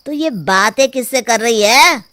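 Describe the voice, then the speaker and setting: A woman's voice: on the high side, lively intonation, measured pace, pushed and bright, no echo. A woman voicing a sneaky, jittery village burglar in a cartoon, talking in a conspiratorial way. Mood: nervous.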